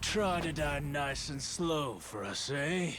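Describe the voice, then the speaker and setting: An actor playing a gloating pirate villain in a video game, drawling and taunting in a gravelly voice. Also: male voice, fairly high pitch, expressive, slow pace, music in the background. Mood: gloating menace.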